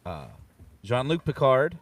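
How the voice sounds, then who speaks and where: A man's voice: medium pitch, sing-song, takes it slowly, distant mic. A man speaking casually as a panelist. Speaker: panelist and streamer. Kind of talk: casual chat.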